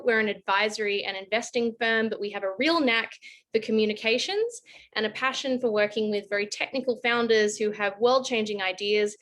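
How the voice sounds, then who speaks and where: A woman's voice: mid-range voice, fairly bright tone. Australian woman explaining on a panel. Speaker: panelist, advisory firm director. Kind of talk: panel discussion, explanatory talk.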